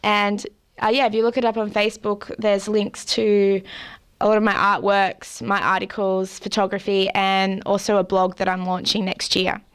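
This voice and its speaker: A young Australian woman, a guest on an interview show, talks freely and laughs easily. Her voice is smooth and clear. She fills her sentences with ums and you-knows as she tells her story. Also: medium pitch, little pitch movement, normal speed. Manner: chatty, laughs often, many fillers. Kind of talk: casual interview.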